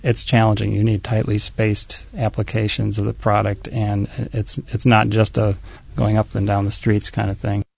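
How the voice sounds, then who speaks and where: A man working as a public health doctor answers in halting speech with many restarts. His low voice is gravelly and flat. It is recorded dry and close. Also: dry recording. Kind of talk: interview answers.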